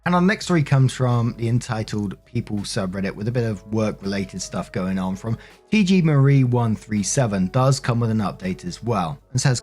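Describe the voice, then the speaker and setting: A man's voice: mid-range voice, darkish tone. A man narrating online stories aloud as a show host, conversational and informal, with casual commentary.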